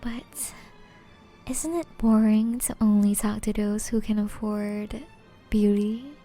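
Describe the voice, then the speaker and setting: A woman playing a flirty, elegant, ageless female vampire in an intimate ASMR roleplay, soft and often whispery, speaking in a refined, formal, teasing way. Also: medium pitch, steady tone, slow, soft background music. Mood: refined, amused.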